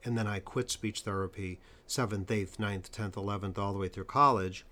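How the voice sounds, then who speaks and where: A man with a lowish voice interviews a guest. He talks emphatically and leans on key points by slowing down. His tone is conversational. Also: steady tone, bright, forceful tone. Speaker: interviewer and stuttering coach.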